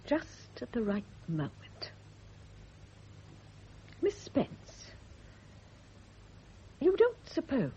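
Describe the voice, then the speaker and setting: An actress playing a bitter, righteous, suspicious nurse, indignant and insinuating, in a radio drama. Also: female voice, a little high, huge pitch swings, very slow, pauses often, warm tone, breathy edge, exaggerated voice. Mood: insinuating suspicion. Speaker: private nurse. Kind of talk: 1970s radio drama acting. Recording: dull lo-fi old radio recording.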